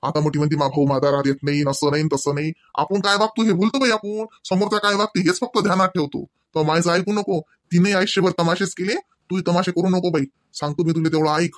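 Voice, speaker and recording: A man plays a village elder in a comedy cartoon, giving firm, weary advice. The voice sits high for a man. The recording is dry, with no room echo. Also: fairly quick, few pauses, natural voice. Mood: admonishing. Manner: admonishing, colloquial. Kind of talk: Marathi comedy cartoon voice acting.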